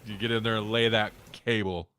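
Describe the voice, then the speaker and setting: Bright, forceful man chatting on a podcast. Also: fairly low pitch, little pitch movement, a little fast, clear, resonant tone. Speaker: podcast host. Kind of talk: casual podcast chat.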